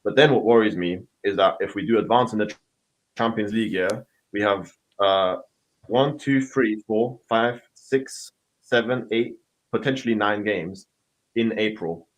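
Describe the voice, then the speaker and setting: A man's voice: fairly low pitch, measured pace, low-quality recording. A man co-hosting a podcast, talking football in a rambling, hesitant way with many ums. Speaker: podcast co-host. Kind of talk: casual football chat.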